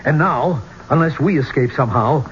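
An actor playing a tense archeologist trapped in a tomb in a 1970s radio drama, with halting, dramatic delivery. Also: male voice, on the high side, lightly acted. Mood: grave. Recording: dull, noisy old radio broadcast.

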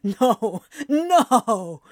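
A woman voicing an exasperated male demon in an audio story, with breathy, dramatic protests and a smitten undertone. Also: medium pitch, animated, extremely slow, no room sound, clean studio sound, exaggerated voice. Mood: amused horror.

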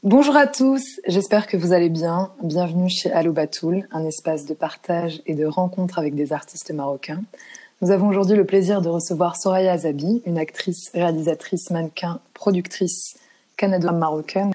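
A woman with a slightly low-pitched voice hosts a podcast interview. She speaks conversationally, putting questions to a guest in an informal spoken flow. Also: normal speed, warm tone.

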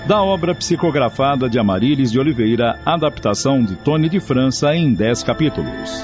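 Um homem brasileiro que narra uma radionovela, lendo um texto roteirizado. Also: male voice, mid-range voice, very animated, fairly quick. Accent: Brazilian. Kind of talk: scripted radio drama narration. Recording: dull, lo-fi recording. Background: faint music.

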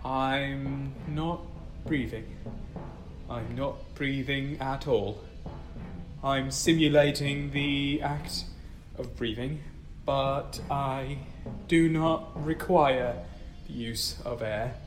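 A man's voice: mid-range voice, fairly even intonation, drawn-out words, soft background music, poor audio. A young man playing a frightened ensign who is turning into a machine and whose speech goes stiff and formal. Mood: stiff, mechanical. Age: young adult. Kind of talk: audio drama acting.

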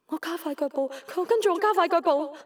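A woman's voice: very high-pitched, quick pace, dry recording, clean studio sound. An actress playing an easily flustered, skeptical young woman in a radio drama, with a Hong Kong accent. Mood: nervous, dramatic. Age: young adult. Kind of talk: Cantonese radio drama acting and first-person narration.

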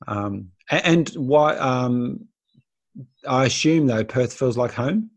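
A man's voice: medium pitch, lively intonation, takes it slowly. A man hosting a podcast interview, encouraging and lightly joking with his guest. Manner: encouraging, joking, frequent affirmations. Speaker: podcast host. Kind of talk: casual interview chat.

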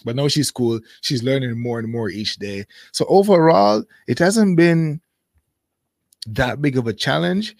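A man in his thirties, a guest in a casual interview, speaking thoughtfully with many pauses and fillers. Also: mid-range voice, animated, no room sound, studio quality.

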